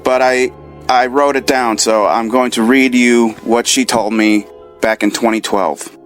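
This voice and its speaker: A man's voice: medium pitch, steady tone, unhurried, faint music behind. A man leaving an earnest spoken message and reading aloud a story he wrote down. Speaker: caller.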